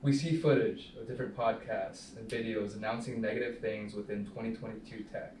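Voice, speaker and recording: A man's voice: on the low side, steady tone, brisk. Young man narrating in an echoey room. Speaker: narrator reading stage directions. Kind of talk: scripted narration at a table read. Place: small meeting room, indoors. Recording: roomy, distant mic, faint noise.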